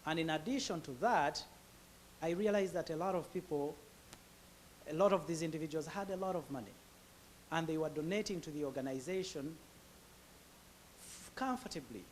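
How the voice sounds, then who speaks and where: A man's voice: on the high side, moderate pace, pauses often, slight background noise. A man with a Ugandan accent telling his personal story in a hesitant way, full of filler sounds and restarts. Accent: Ugandan English. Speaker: guest speaker.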